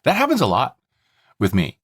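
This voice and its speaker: A man's voice: mid-range voice, huge pitch swings, moderate pace, husky, no room sound, clean studio sound. A man hosting a podcast, talking conversationally and explaining things plainly, step by step. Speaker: podcast host and story producer.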